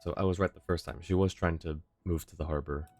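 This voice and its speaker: A man's voice: low voice, steady tone, quick pace, a little mellow, soft background music. A man giving casual, wry livestream commentary on a game in an informal way. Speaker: game streamer.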